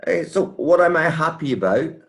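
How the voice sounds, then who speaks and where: A university professor speaking in a video call interview, halting with many restarts and repeated words. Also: male voice, fairly high pitch, animated.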